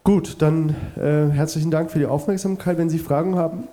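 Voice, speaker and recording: A man's voice: fairly high pitch, steady tone, fairly quick, mellow, slight background noise. A man with a cold presenting at a conference and answering audience questions, with many fillers and restarts. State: has a cold.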